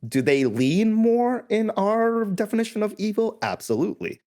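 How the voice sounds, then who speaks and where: A man's voice: sits high, sing-song, moderate pace, darkish tone. A man from India who is a podcast guest, explaining in casual conversation with a thoughtful, self-correcting manner.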